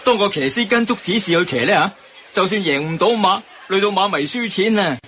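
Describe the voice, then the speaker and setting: A man playing a cynical, easygoing racing fan from a Hong Kong radio comedy, trading colloquial banter. The voice is slightly high and sharply bright, with faint noise behind it. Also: expressive, fairly quick. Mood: worldly. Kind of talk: Cantonese radio drama banter.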